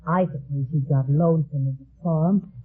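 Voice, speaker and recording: An actress playing a worried, earnest farm woman in a 1950s radio detective drama, speaking haltingly on a muffled old recording. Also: sits low, slightly rough. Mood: wistful, worried. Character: anxious farm woman seeking her missing brother.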